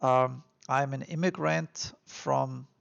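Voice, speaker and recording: A man's voice: medium pitch, steady tone, slow pace, vocal fry, a bit bright. A man from Austria with an Austrian accent, giving earnest, encouraging advice in a vlog monologue, repeating himself with frequent fillers. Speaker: immigration vlogger. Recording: dull lo-fi recording.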